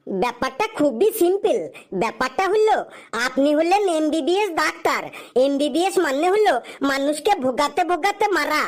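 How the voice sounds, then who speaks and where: Woman acting smart-mouthed young jobless man, high cartoon pitch, teasing, glib, comic cartoon dialogue. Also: expressive, moderate pace. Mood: mocking. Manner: glib, teasing back-talk.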